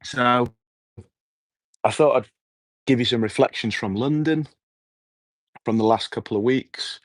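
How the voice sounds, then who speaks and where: A middle-aged man with a hoarse voice, hosting a relaxed, rambling evening livestream outdoors and chatting with his viewers. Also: medium pitch, measured pace, dry recording. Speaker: livestream host. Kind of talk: casual evening livestream chat. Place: outdoors at night.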